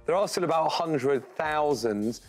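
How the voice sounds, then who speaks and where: A man co-hosts a news roundup with a somewhat high voice. He banters with wry, deadpan humor and states his opinions plainly. Faint music plays beneath him. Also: moderate pace. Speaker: co-host. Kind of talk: casual news chat.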